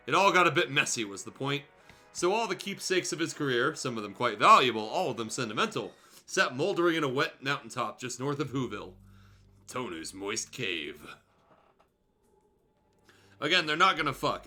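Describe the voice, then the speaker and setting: A man's voice: mid-range voice, bright, forceful tone. A man reading fan fiction aloud for comedy as a show host, breaking off into casual, irreverent, sweary asides.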